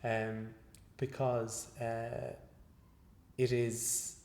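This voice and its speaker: A male researcher giving an interview after a lecture, speaking in a measured, hesitant way with many fillers and restarts. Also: medium pitch, monotone, darkish tone. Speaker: guest researcher. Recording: echoey room.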